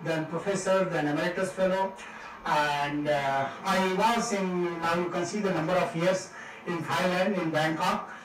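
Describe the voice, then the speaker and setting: A man's voice: sits high, steady tone, a little slow. Older man, Indian English accent, joking lecturer, Hindi asides, distant mic, echoey seminar hall. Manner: jovial, mixing Hindi into English, laughing at own jokes. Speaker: professor giving a guest lecture. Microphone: room mic from afar.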